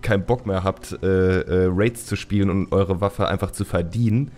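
Male host, lower register, casual exclamatory podcast chat, light music underneath. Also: fairly even intonation, moderate pace, a little mellow.

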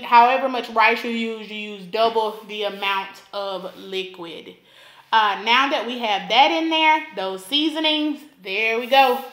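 Slow, bright-voiced woman teaching cooking. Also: a little high, echoey room. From Alabama, US. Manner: instructive, repeats for emphasis. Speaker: cooking channel host. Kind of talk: cooking tutorial voice-over.

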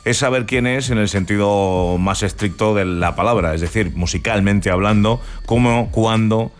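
Un hombre, presentador de radio, que entrevista con preguntas pausadas y algunas vacilaciones. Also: male voice, fairly low pitch. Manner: measured, probing questions with restarts. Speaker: radio host. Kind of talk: radio interview.

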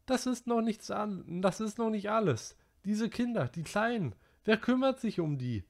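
A man's voice: animated, moderate pace, gravelly. A man doing Piggy, a whiny fretful schoolboy. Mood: indignant. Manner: high, whiny and breathless pleading. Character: chubby anxious schoolboy, fretful and indignant.

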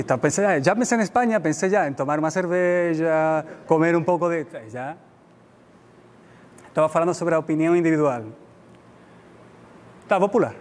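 A middle-aged man from Spain with a Spanish accent, giving an informal, explanatory public lecture in a language that is not his native one. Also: a little high. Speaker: guest lecturer. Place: indoor lecture room. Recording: reverberant hall with background noise.

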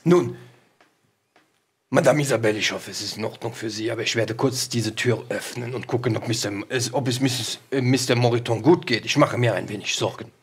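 A man's voice: medium pitch, fairly quick, slightly rough. A man playing a courteous, concerned investigator with a hesitant, formally polite way of talking in an audio drama. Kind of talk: improvised pen-and-paper audio drama acting.

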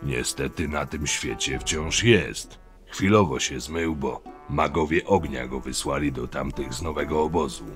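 A man's voice: extremely low pitch, a little slow, faint music behind. A man playing a stern, loyal, level-headed chief of camp guards in a fantasy audio drama, speaking firmly and matter-of-factly. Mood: businesslike. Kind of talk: acted dialogue, fan audio drama.

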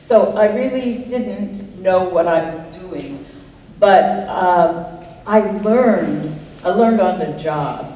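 An older woman, a writer on a panel, answering audience questions in a reflective, unhurried way and laughing often. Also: lowish voice, lively intonation, dark tone. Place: art center talk room, audience present. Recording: echoey room, distant mic, faint noise.

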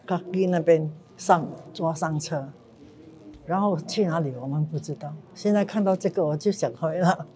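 A woman's voice: low voice, normal speed, dark tone. A woman in her eighties, a war survivor, telling her story earnestly and with emotion in a documentary interview. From Singapore. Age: 80s. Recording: clear music bed underneath.

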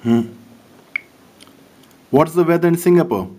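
A man's voice: on the high side, lively intonation, measured pace, warm tone. A man with a Pakistani accent casually narrating a phone app demo, making amused comments on its answers. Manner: chatty, amused running commentary. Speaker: app demonstrator.

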